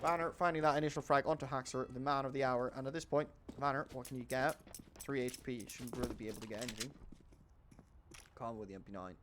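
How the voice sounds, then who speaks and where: Excitable man casting a shooter game. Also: fast talker, noisy background. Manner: rises in pitch and volume during fights, calm between rounds. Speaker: esports caster. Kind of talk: live play-by-play commentary. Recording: game gunfire audible behind.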